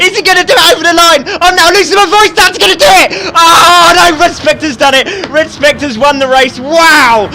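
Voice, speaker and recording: High-pitched excitable commentator man. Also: a little hiss or hum. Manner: excitable, shouts and laughs at close moments. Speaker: sim racing commentator. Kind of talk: excited live race commentary.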